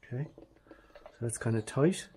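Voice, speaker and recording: Relaxed man talking through garage work. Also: medium pitch, measured pace, slight background noise. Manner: relaxed, thinking aloud while working, addresses viewers as guys. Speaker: restoration vlogger. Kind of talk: casual workshop how-to narration. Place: home garage workshop.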